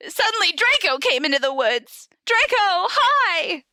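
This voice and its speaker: A woman podcast host with an expressive, giggly way of talking, switching between comedic dramatic reading and casual chat. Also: extremely high pitch, measured pace, dry recording, studio quality.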